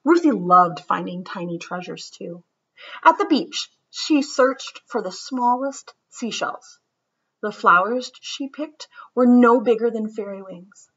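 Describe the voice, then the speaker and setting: A woman giving a gentle, reflective introduction, then reading a children's book aloud. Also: mid-range voice, expressive, takes it slowly, breathy edge. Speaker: read-aloud presenter. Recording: slightly muffled recording.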